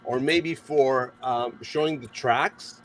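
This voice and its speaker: A man in casual show-and-tell chat, good-humoured, halting and repeating his words as he explains. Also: mid-range voice. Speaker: hobbyist guest on the stream. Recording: faint noise, room ambience.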